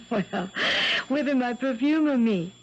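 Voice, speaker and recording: Affectionate, spirited young fiancée, emotive 1970s radio mystery acting, muffled recording with faint noise. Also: female voice, on the high side, animated, takes it slowly, a little mellow. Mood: teasing, affectionate.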